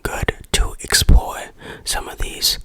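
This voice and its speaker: A person whispering an ASMR game review in a breathy, unvoiced voice, deliberate and tongue-in-cheek. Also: a bit bright, no echo.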